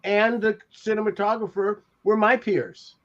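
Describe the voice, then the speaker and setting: An older man with a notably high voice reminisces casually on a panel. He talks in a halting, rambling way. The sound is dull and lo-fi, like a home video call. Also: fairly even intonation. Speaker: podcast panelist, TV industry veteran.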